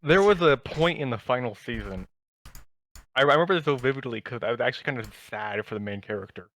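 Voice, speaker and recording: A man's voice: medium pitch, animated, quick pace, fairly bright tone. A man co-hosting a podcast, chatting casually and telling stories in an enthusiastic, rambling way. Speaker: podcast co-host. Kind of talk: casual chat about anime.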